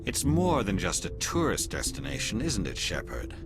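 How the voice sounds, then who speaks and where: Cool, low-voiced alien agent. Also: male voice, wildly swooping pitch. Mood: probing, ominous. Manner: deliberate, insinuating. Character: cool, probing male alien elite agent. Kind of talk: video game dialogue acting. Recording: loud music behind the voice. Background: music.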